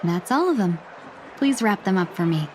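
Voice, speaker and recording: An actress voicing a gracious, refined young woman in a video game, speaking politely in a measured, gentle, wistful way. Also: mid-range voice, animated, a little hiss or hum, close to an everyday voice. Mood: warm, gracious. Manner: polite, measured, softly formal. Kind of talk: English video game voice acting. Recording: game audio with music underneath. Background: background music.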